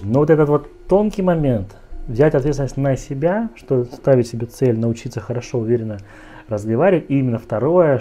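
A man's voice: mid-range voice, a little mellow. Earnest man coaching straight to camera. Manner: earnest, direct, speaks to the viewer as "you", many fillers. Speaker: speech coach. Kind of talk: talking-head video lesson. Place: home office with shelves, indoors. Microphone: lapel mic.

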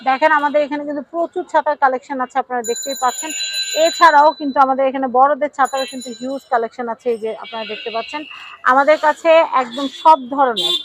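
A woman's voice: high voice, steady tone, quick pace, fairly bright tone, rough recording. A woman with a Bangladeshi accent hosting a casual product showcase, chatting with a seller, asking short questions and repeating what she hears. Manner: repeats prices and phrases, asks short questions. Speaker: video host presenting products.

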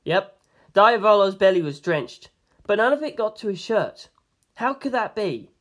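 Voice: mid-range voice, expressive, unhurried, a bit bright. A narrator reading scripted first-person fiction aloud in an audiobook style.

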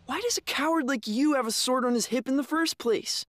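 A woman's voice: high-pitched, a little fast, lightly acted. A woman voicing a blunt, sullen young boy in an anime dub, speaking in a matter-of-fact way. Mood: scornful.

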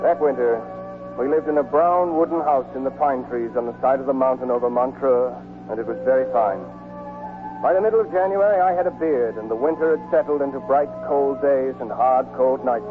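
Actor as steady man, muffled old radio. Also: mid-range voice, fairly quick, natural voice. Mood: reflective. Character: steady, devoted American soldier on the run. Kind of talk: 1940s radio drama acting and first-person narration. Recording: muffled 1940s radio recording with music.

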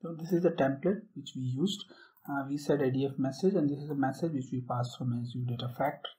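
A man presenting a software tutorial, explaining a screen-share demo step by step with occasional fillers. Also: medium pitch, fast talker, rough recording.

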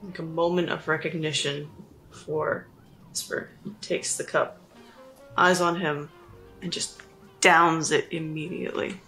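A woman's voice: deep voice, level tone, unhurried, long pauses, bright, forceful tone, faint music behind, rough recording. A woman playing a tabletop game, asking questions and describing her character's actions.

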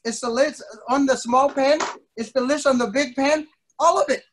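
A middle-aged man telling a playful story to children as their instructor, theatrical, with drawn-out emphasis and pauses. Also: very high-pitched, fairly even intonation, a little hiss or hum. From an island country. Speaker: martial arts instructor telling stories to children. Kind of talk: playful interactive storytelling for kids. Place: indoor wood-panelled studio, on a video call.

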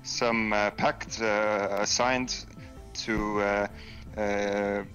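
A man from Estonia with an Estonian accent, chatting casually in a second language, halting and searching for words. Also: lowish voice, level tone, a bit bright, dull audio, faint music behind. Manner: halting, many ums and uhs, searching for words. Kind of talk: casual voice chat.

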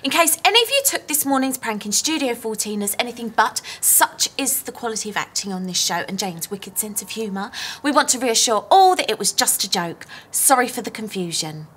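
Young woman, clear-cut bright tone, fairly high, composed, blunt, office comedy, faint background noise. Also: big pitch swings, a little fast, few pauses. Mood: matter-of-fact. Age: young adult. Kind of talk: naturalistic screen comedy acting. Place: open-plan office.

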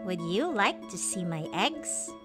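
A woman voicing a sad, wistful mother hen in a children's storybook, speaking gently and softly. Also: mid-range voice, sing-song, unhurried, loud music behind the voice.

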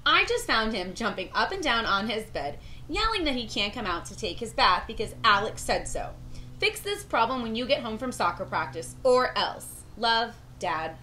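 A woman's voice: on the high side, big pitch swings, normal speed, bright, forceful tone. A woman in her thirties reading a children's picture book aloud, recorded on a porch from a few feet away. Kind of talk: storybook read-aloud, letters in character. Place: covered porch with patio furniture. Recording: ambient noise on a porch.

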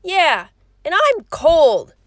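A woman's voice: extremely high pitch, huge pitch swings, drawn-out words, no room sound, studio quality, exaggerated voice. A woman voicing a whiny, fragile, childlike middle-aged man in a scripted cartoon parody, whimpering and naive.

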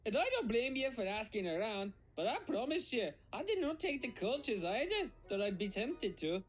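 A woman's voice: fairly high pitch, sing-song, moderate pace, husky, highs cut off. A woman voicing a forthright male steam engine in a cartoon, scornful of bullies.

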